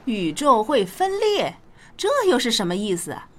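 An actress playing a gently correcting mother in a Chinese radio drama. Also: fairly high pitch, sing-song, moderate pace. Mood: gently chiding. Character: mother, gently correcting her young daughter.

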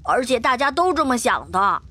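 A woman's voice: on the high side, big pitch swings, normal speed, sharp tone, slightly rough, faint music behind, heavily acted. A woman playing a rebellious, cocky young male tiger-demon cub in an audio drama, whiny and defiant. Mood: defiant, sulky.

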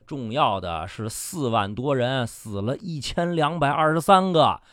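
A man's voice: mid-range voice, expressive, measured pace, fairly bright tone, dry recording, clean studio sound. A man playing a bullying, cynical media boss in a radio drama, domineering and condescending, swearing and badgering with questions. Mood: smug, instructing.

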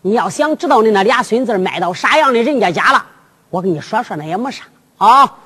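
Lady doing cheeky young henan fisherman guy, blustery, folksy storytelling, echoey hall, bright. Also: a little high, fairly quick, breathy edge, pitched below the performer's own voice. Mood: cheeky, boastful. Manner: folksy, excitable. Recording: long reverberant hall echo.

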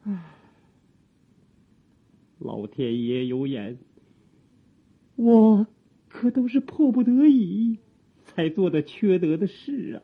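A woman acting an aging, servile, guilt-ridden palace eunuch in an audio drama, with a thin, husky voice and halting, sighing, theatrical delivery. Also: on the low side, very animated, phone-quality sound, heavily acted. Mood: remorseful, weary.

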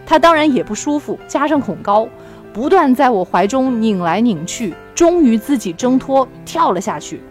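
Woman hosting a book podcast, higher voice, casual, bit of music under it. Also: very animated, normal speed. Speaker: podcast host. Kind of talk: casual book chat and reading aloud.

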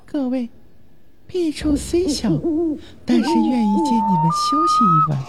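A woman's voice: high-pitched, huge pitch swings, unhurried, very noisy, full character voice. A woman playing a petite young maiden in an audio drama, coy, sweetly polite and a little mysterious. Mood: demure, inviting.

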